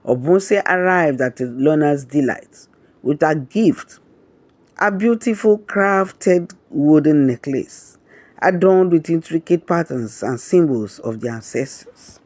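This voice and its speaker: A man narrating a scripted romantic folktale in a measured, dramatic storybook manner. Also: a little high, lively intonation, a little mellow, no echo.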